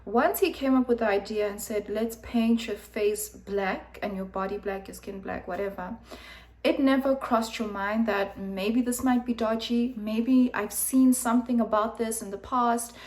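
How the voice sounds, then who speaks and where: A woman's voice: on the high side, fairly even intonation, unhurried, darkish tone. A young woman from Zimbabwe who grew up in South Africa, giving frank, emphatic opinion commentary with many fillers. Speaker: vlogger. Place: room with background noise. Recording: echoey room, voice from a distance.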